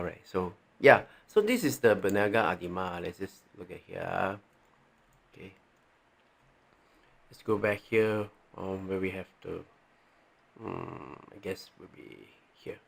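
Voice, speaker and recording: A man with a Malaysian accent presents an informal, step-by-step tutorial. His voice sits fairly low and has a pronounced creak. He thinks aloud in a halting way and keeps seeking confirmation as he explains. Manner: halting, thinking aloud, frequently checking with "right". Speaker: tax training presenter. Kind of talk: informal tutorial walking through on-screen examples.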